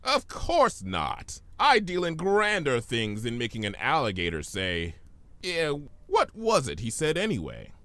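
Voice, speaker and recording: A man voices a mischievous storybook trickster spirit. He is smug and breezy, drawling dismissively with slow, stretched phrases and a very animated pitch. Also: no room sound, heavily acted. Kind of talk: dramatic storybook reading.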